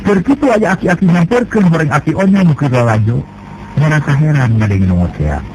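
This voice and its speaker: Man, folk tale storyteller, lively character voices, noisy recording, vehicle noise behind. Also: medium pitch.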